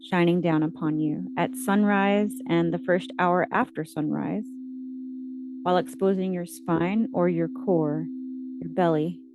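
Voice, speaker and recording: A woman with a fairly low voice leads a scripted guided meditation. She recites in short, measured phrases, like readings from scripture. A steady hum and clear noise sit behind her voice throughout.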